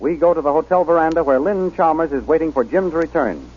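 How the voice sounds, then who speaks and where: A man's voice: a little high, lively intonation, fast. A man narrating an old-time radio adventure serial in a dramatic scripted style. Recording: noisy, muffled old radio recording.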